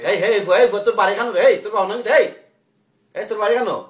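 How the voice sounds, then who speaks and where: A man's voice: high voice, fairly even intonation, rapid-fire. A middle-aged man with a Sylheti accent arguing indignantly on a phone call, scolding and repeating himself. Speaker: prank call participant, speaking as an angry father. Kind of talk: heated phone argument. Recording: echoey phone call recording.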